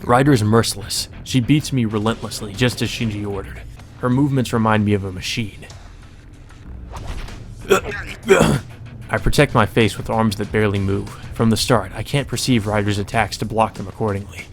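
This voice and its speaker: A man's voice: lowish voice, moderate pace, faint music behind. A man reading visual novel narration aloud as a let's play narrator, in a dramatic storytelling manner.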